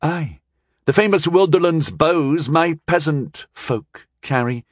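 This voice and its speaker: Fierce, proud noblewoman, played by a male narrator for fantasy audiobook. Declamatory, confident, pitched up. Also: takes it slowly, no room sound, clean studio sound. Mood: proud defiance. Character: proud, saucy frontier noblewoman, fierce young wife.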